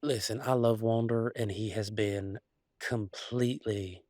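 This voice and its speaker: A man playing an easygoing, affectionate country werewolf boyfriend, talking in a folksy, halting way in an intimate roleplay audio. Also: on the low side, steady tone, a little mellow, no echo, studio quality, lightly acted. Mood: hesitant, uneasy. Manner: folksy, drawling slang, halting asides.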